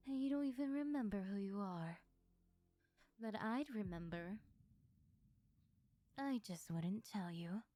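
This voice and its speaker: Woman acting a slow, whispery, possessive demon lover. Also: mid-range voice, dark tone, lightly acted. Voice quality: breathy, often dropping into whispers. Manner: slow, teasing, menacingly intimate. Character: possessive, taunting female sleep paralysis demon, yandere lover. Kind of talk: ASMR roleplay monologue. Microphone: close ASMR microphone.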